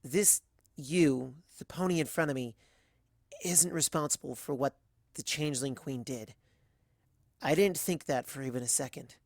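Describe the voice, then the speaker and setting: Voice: mid-range voice, moderate pace, pauses often, no room sound, studio quality, natural voice. A reader voicing a timid, earnest young female pony who speaks in a hesitant, pleading way, in a dramatic fan fiction reading. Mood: apologetic.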